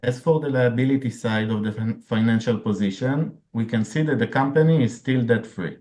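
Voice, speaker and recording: Guy cfo reading quarterly numbers on a call, formal, mellow voice. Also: medium pitch, brisk. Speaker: chief financial officer presenting results. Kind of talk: scripted financial report on an earnings call.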